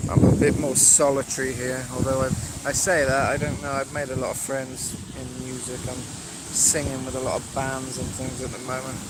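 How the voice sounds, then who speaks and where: An English man chatting casually during a live stream on the beach, with lots of fillers and pauses. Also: mid-range voice. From England. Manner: relaxed, hesitant, many fillers. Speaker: livestreamer. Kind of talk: casual live stream chat answering viewers. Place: outdoor beach, windy. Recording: loud wind and surf noise outdoors.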